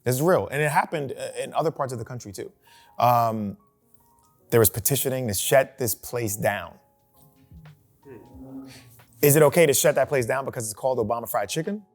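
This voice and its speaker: A man moderates a group discussion, putting questions to the panel with lively emphasis. His voice sits in a middle range. Faint music plays underneath. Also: mid-range voice, normal speed, pauses often. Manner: animated, poses questions, frequent "right?". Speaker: talk show host.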